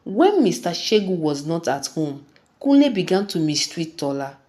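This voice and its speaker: A woman narrating a scripted folktale in a deliberate, dramatic storytelling manner. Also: on the low side, big pitch swings, dark tone.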